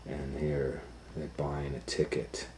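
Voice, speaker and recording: Man, low-pitched voice, unhurried pace, children's storybook read-aloud, animated delivery, casual asides. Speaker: storytime reader and host.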